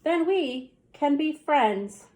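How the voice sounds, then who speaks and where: A woman's voice: high-pitched, animated, slow, a little mellow. A woman voicing a mischievous young storybook dinosaur who loves scaring friends, in a playful, simple way. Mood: thoughtful.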